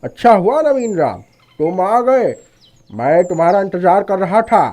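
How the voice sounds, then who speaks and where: A man's voice: high voice, very animated, unhurried, darkish tone, pitched above the performer's own voice. A man voicing a shop landlord in a story, regretful and hesitant yet insistent. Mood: greeting. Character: apologetic but firm male shop landlord. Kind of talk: animated moral story dialogue.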